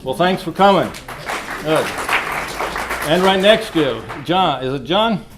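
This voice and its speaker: A man hosting an informal club meeting, speaking to the room in a folksy, halting way with repeats and self-interruptions. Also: on the high side, animated, crowd noise. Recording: noisy live meeting room recording.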